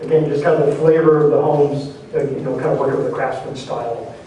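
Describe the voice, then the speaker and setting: Mellow man presenting in echoey hall. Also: mid-range voice, fairly even intonation, fast. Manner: explanatory, patient, hedging with "kind of". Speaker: developer presenting a housing plan. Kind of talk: public meeting presentation. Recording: echoey hall from far off, faint noise.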